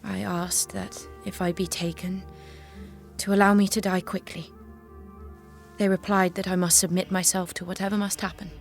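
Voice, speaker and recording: An actress playing a devout young warrior maiden in an audio drama, earnest, grave and restrained. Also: female voice, medium pitch, little pitch movement, moderate pace, creaky, soft background music, close to an everyday voice. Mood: resigned, faithful. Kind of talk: solemn audio drama acting.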